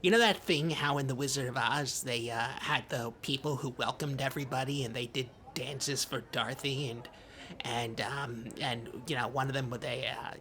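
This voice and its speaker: Comedy podcast co-host: male actor as an earnest, timid man-child, mid-pitched and bright, halting and rambling. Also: fairly even intonation, close to an everyday voice. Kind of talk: improvised comedy podcast banter.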